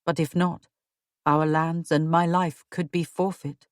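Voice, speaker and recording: A woman voicing a worried, steadfast Tudor nobleman who speaks low and solemnly. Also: level tone, measured pace, dry recording, studio quality. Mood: grave.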